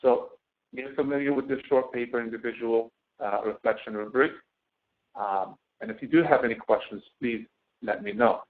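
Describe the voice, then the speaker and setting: A man giving an informal screen-share tutorial as a course instructor, speaking haltingly and often repeating words. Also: mid-range voice, a little hiss or hum. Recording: thin, phone-like narrowband audio.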